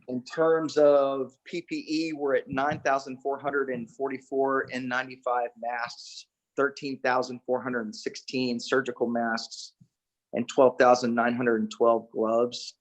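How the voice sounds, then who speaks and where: Even-toned man giving an official briefing. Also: mid-range voice, unhurried, low-quality recording. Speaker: city emergency management official. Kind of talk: spoken briefing to a council meeting. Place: conference call.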